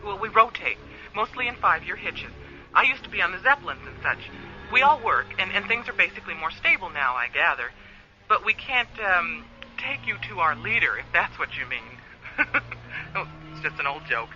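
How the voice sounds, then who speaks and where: A woman's voice: fairly low pitch, sing-song, normal speed, bright, forceful tone, exaggerated voice. A woman playing a friendly, candid space communications officer in a radio play, chatty and a little apologetic over a radio link. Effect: radio transmission filter. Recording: dull band-limited radio link, music behind.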